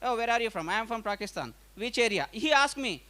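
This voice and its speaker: High-voiced man lecturing. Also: lively intonation, quick pace, sharp tone. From India. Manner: emphatic, repetitive, conversational, with frequent check-in tags. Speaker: lecturer at an academic conference. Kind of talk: informal lecture with anecdotes. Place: live conference talk.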